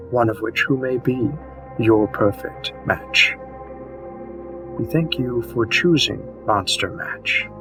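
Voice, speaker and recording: A man playing a courteous monster matchmaking presenter in a scripted audio roleplay, speaking in a measured, formal and deliberate manner. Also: medium pitch. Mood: courteous, hopeful.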